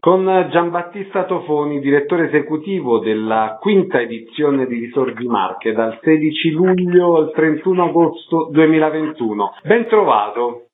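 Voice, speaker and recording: A man's voice: a little high, lively intonation, moderate pace, hardly any pauses, phone-quality sound, a little hiss or hum. A man hosting a radio interview with concise, announcer-like delivery.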